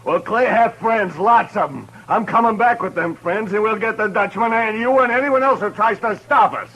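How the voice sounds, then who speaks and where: In a 1950s radio western, a bitter townsman spits accusations and threats at the marshal. His voice sits a touch high for a man and stays hostile throughout. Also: normal speed, a bit bright, rough recording.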